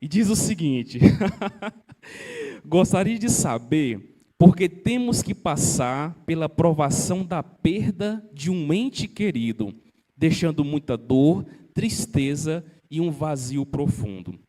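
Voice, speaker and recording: Mellow-voiced male moderator reading questions. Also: a little high, sing-song, slow. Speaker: live show moderator. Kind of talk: reading viewer questions on a live panel.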